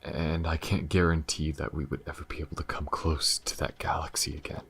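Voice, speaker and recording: Man acting as a very deep, husky, gentle alien lover. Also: level tone, full character voice. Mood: tender, earnest. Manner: soft, halting, hesitant, sighing delivery. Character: gentle, battle-weary alien man, earnest devoted lover. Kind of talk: intimate ASMR audio roleplay.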